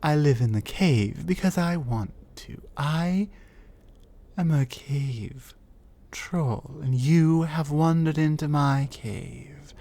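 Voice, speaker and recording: A woman voicing a low, scornful cave troll. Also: creaky, dark tone, heavily acted. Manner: scornful, lecturing, deliberate. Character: large territorial female cave troll, scornful. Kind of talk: ASMR audio roleplay.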